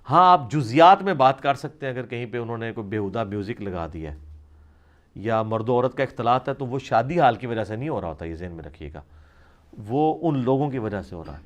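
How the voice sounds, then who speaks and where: A man's voice: medium pitch, lively intonation, brisk. A man answers religious questions in an informal, explanatory lecture, reasoning conversationally as a scholar. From South Asia.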